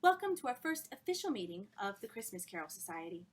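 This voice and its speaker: A woman reading a story, voicing an effusive, theatrical literary society hostess in a high, thrilling voice. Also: very animated, fast talker, breathy edge. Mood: gushing.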